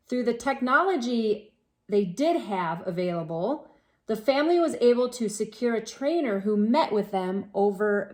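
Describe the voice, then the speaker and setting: A woman hosts a read-aloud for children in a fairly high voice. She takes her time, reading at a very slow pace. Her delivery is expressive and full of exclamation, addressed straight to young listeners.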